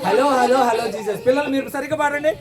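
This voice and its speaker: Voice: high voice, fast talker. A puppet show host bantering live with puppets and children, teasing, questioning and repeating phrases. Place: church hall with children audience. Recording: distant, echoey hall, crowd noise.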